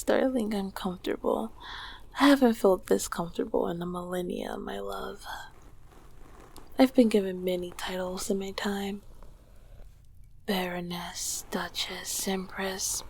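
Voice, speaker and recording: A woman voicing an ancient succubus queen in an intimate ASMR roleplay, tender, regal and teasing, with a breathy, husky, often whispering voice. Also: medium pitch, exaggerated voice. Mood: cozy, affectionate. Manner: slow, affectionate, softly teasing.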